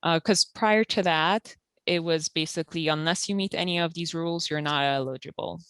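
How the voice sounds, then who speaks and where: A woman who works at a community health center, calmly explaining eligibility rules and documents step by step with frequent fillers. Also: lowish voice, normal speed, clear, resonant tone, dry recording. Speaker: health center benefits counselor. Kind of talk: informational interview.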